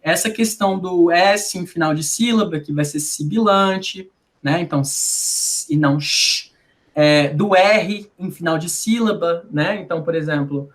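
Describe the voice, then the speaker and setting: A woman's voice: fairly low pitch, measured pace. A woman from Brasília with a Brasília accent, a linguistics researcher explaining in a conversational interview, didactic and full of tag questions. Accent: Brasília Portuguese. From Brasília, Brazil.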